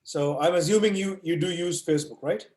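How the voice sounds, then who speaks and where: A man's voice: a little high, brisk, a little mellow. Man teaching over an echoey call. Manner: explains with everyday analogies, frequent "right?" and "you know". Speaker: online course instructor answering questions. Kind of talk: live online Q&A session. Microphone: video call. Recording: echoey room.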